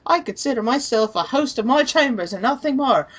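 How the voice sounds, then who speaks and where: A woman's voice: sits high, lively intonation, a little fast, fairly bright tone. A woman acting as a reader, giving a dramatic reading of written online comments.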